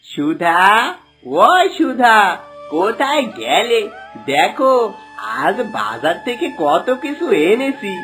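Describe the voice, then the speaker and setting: A man playing a sly, greedy, boastful village swindler in a cartoon moral story, with an animated, coaxing manner. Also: sits high, takes it slowly, exaggerated voice. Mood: gloating. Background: background music.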